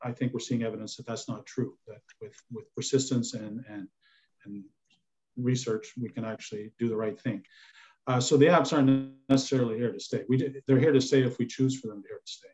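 A man's voice: mid-range voice, fairly even intonation, brisk, darkish tone. An older man giving his opinions as a chef on a panel, repeating words for emphasis. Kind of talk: panel discussion. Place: indoors on a video call. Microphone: video call. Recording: slightly dull video-call audio.

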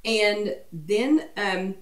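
A middle-aged woman hosting a wellness workshop in a chatty, animated way, her voice getting croaky. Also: medium pitch, extremely slow, distant mic. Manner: chatty, animated, sings playful asides. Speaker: workshop host. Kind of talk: casual motivational talk. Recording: roomy echo, speaker a bit off-mic.

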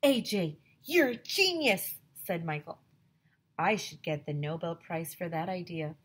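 A woman in her thirties, a teacher on a headset mic, reading a chapter book aloud to children with lively, expressive storytelling. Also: fairly low pitch, a little slow, husky. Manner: expressive storytelling, addresses kids directly. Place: small home room with classroom decorations.

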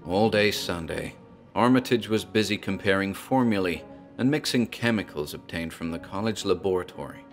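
A man's voice: deep voice, normal speed, soft background music. A man narrating a horror tale in a theatrical, storytelling manner, as a tavern landlord.

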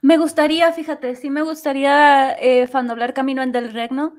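A woman's voice: high voice, little pitch movement, moderate pace. A woman talking casually as an interview guest about her work as an amateur singer. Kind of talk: casual chat.

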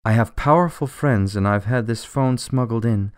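A man's voice: mid-range voice, moderate pace, smooth, dry recording, studio quality, lightly acted. A man playing a desperate, exasperated jailed politician in a radio drama, insistent and testy. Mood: testy, urgent.

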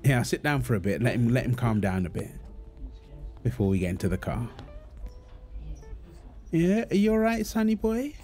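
A man playing a gentle, reassuring young father and husband in an intimate audio roleplay, talking in a soothing, affectionate, casual way. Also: mid-range voice, big pitch swings, moderate pace, pauses often, soft background music, lightly acted. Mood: reassuring, tender. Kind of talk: intimate comfort roleplay, casual one-sided dialogue.

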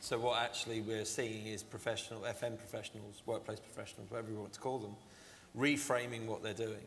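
A man's voice: on the low side, level tone, fast talker, fairly bright tone. A man in his thirties speaking on a conference panel, wry and self-deprecating. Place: large event hall stage. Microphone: lapel mic. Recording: reverberant hall, faint noise.

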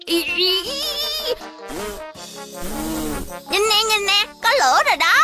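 An imperious, excitable little cartoon girl. The voice is extremely high and insistent, styled for a children's dub. Music is clearly heard behind it. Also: brisk. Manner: bossy, insistent. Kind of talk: dubbed children's cartoon acting.

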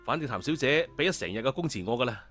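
A man's voice: mid-range voice, animated, quick pace, a bit bright, soft background music. A man voicing a polite, awkward young male hairdresser in an audio story, deferential and hesitant. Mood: eager, polite.

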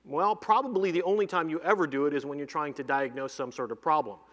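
Energetic man presenting on a hall stage. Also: on the high side, highs cut off. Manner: energetic, lists items in quick runs. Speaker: keynote presenter demoing cloud software. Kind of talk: live keynote demo. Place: large conference hall stage. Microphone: headset mic. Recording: long hall reverb.

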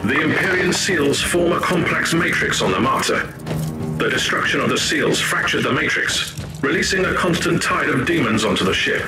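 An actor playing a coldly calculating tech priest in a video game, precise and matter-of-fact. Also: male voice, fairly high pitch, animated, normal speed, natural voice. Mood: clinical. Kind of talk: video game character acting. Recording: echoing voice under loud music.

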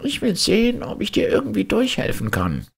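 Man putting on a high-pitched kindly old woman. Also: huge pitch swings, moderate pace, gravelly, slightly rough, heavily acted. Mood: worried. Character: kindly old woman of the forest, worried helper.

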